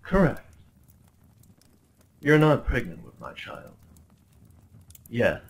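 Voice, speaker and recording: Male actor as possessive yandere prince, gravelly creak, sweeping intonation, courtly ASMR roleplay, menacing tenderness. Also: mid-range voice, very animated. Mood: smug, scheming. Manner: courtly, theatrical, deliberate phrasing with menacing undertone. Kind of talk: dramatic ASMR roleplay monologue addressed to the listener.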